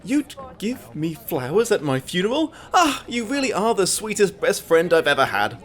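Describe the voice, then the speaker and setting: A man's voice: high-pitched, sing-song, moderate pace, fairly bright tone. A man playing an effusive, clingy self-declared best friend with flowery declarations in a comedy web drama. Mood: gushing.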